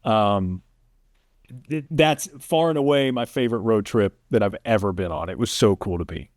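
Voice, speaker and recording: A man's voice: lowish voice, normal speed, darkish tone, dry recording. Casual male sportscaster, close dry sound. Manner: informal, conversational, checks in with the listener. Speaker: sports play-by-play announcer, interview guest. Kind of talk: casual interview storytelling.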